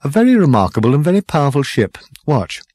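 A man's voice: a little high, very animated, fast, mellow, dry recording, studio quality, voice pushed up. A man voicing a smug, unflappable and enigmatic schemer with a knowing, superior manner in an audiobook. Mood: quietly impressed.

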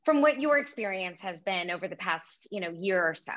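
A woman's voice: lowish voice, expressive, brisk, fairly bright tone. A woman in her thirties hosting an interview over a video call, encouraging and affirming as she asks questions. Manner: encouraging, frequent affirming backchannels. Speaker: interview host. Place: home room with framed pictures. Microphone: laptop camera on video call.

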